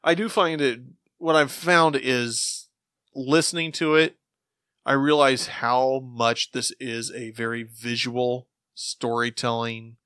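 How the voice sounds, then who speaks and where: Casting: male co-host, average pitch, bright forward tone, informal conversational podcast style, dry sound. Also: fairly even intonation, takes it slowly, smooth, dry recording. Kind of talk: casual podcast discussion.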